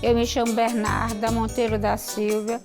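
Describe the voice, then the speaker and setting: A woman with a Maranhão accent telling her story with hesitant restarts, her voice gravelly. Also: on the high side, level tone, moderate pace. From interior of Maranhão, Brazil. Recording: music underneath.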